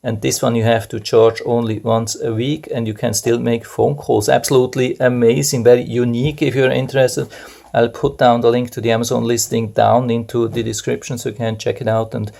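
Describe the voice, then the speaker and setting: A man's voice: medium pitch, level tone, a little fast, gravelly, a little mellow. A man giving a casual, unscripted product review, explaining things step by step and often repeating his points. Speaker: product reviewer and video host.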